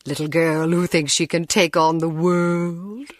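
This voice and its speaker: An actress playing a haughty, coolly cruel aristocratic villainess with a condescending, theatrical drawl in a video game. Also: female voice, on the low side, steady tone, a little slow, no echo, studio quality, natural voice.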